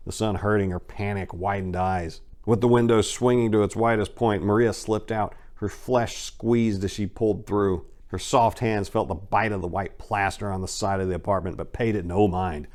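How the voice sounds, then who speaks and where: A man with a fairly low voice narrates crime fiction as a dramatic reading. His storytelling is intense and expressive, following a written script.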